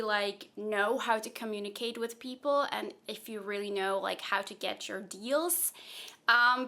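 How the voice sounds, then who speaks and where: A woman's voice: on the high side, sharp tone. A young woman from Slovakia, whose first language is Slovak, answers viewer questions in a casual vlog, chatty and thinking aloud with plenty of fillers. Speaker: software engineer and content creator.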